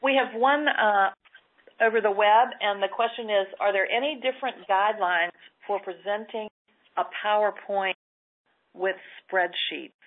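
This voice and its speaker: A woman co-hosting an advice show, explaining in a steady, practical way. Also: medium pitch, a little slow. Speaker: co-host. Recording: tinny phone line.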